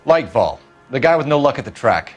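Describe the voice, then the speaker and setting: A man's voice: mid-range voice, sing-song, fairly quick, highs cut off, faint music behind, close to an everyday voice. An actor playing a stern, driven police detective in a video game, commanding and clipped as he questions and gives orders. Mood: cold, pressing. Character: stern, driven male police detective, hard-nosed and controlled. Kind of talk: cinematic video game acting.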